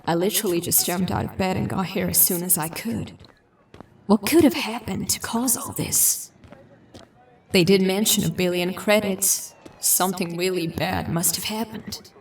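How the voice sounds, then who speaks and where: An actress playing a concerned, businesslike detective, speaking conversationally in an audio drama. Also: female voice, mid-range voice, moderate pace, recorded from a distance, slight background noise, natural voice. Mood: uneasy, musing.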